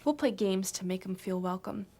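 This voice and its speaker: A woman playing a friendly school teacher in a scripted lesson dialogue, speaking clearly and reassuringly. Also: mid-range voice, moderate pace, dark tone, natural voice. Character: friendly female school teacher, middle-aged.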